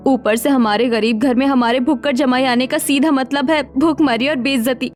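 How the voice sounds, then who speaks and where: Casting: actress as poor young housewife, very high voice, anxious and exclamatory, cartoon melodrama. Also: female voice, fairly even intonation, moderate pace, soft background music, close to an everyday voice. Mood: alarmed. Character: young poor daughter-in-law, anxious and dramatic. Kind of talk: Hindi cartoon story dialogue.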